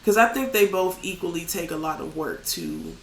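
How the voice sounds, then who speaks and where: A man with a raspy voice talking casually as a podcast guest, candid and loose, with plenty of fillers. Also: on the high side, huge pitch swings, moderate pace, a bit bright, a little hiss or hum. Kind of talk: casual chat. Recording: echoey room.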